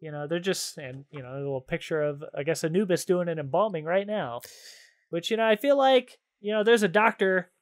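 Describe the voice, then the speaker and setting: A man's voice: a little high, a little fast. A man hosting a podcast in casual chat, playful and jokey, reading out trivia cards and often amused. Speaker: podcast host and quizmaster.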